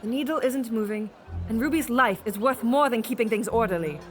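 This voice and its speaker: A woman playing a cautious, sensible Victorian woman who speaks in careful, proper, measured phrases, in an audio drama. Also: on the high side, faint music behind, lightly acted. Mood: grave, resolved. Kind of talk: children's audio drama acting.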